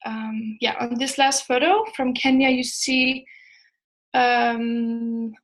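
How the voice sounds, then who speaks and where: A woman with a Danish accent, a native Danish speaker, presenting informally as a startup co-founder, pausing hesitantly mid-sentence. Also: fairly high pitch, fairly even intonation, poor audio. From Denmark.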